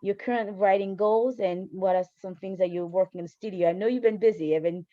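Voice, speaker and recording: A woman's voice: medium pitch, brisk, dry recording. A woman hosting a casual interview in an encouraging, affirming way, on a somewhat muffled recording. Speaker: interview host.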